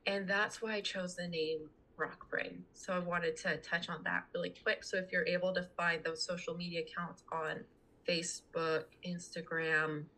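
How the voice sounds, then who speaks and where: Young woman, low bright husky voice. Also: level tone, moderate pace. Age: young adult. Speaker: podcast guest. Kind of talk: casual conversational interview talk.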